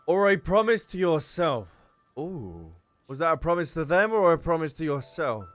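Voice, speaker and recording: A man's voice: fairly high pitch, very animated, moderate pace, fairly bright tone, soft background music. A man giving casual reaction commentary as he streams a video game.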